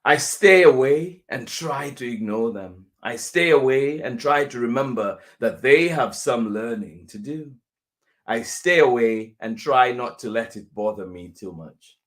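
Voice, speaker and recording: A man reading a children's picture book aloud as a teacher would, deliberately, with pauses between phrases. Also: mid-range voice, expressive.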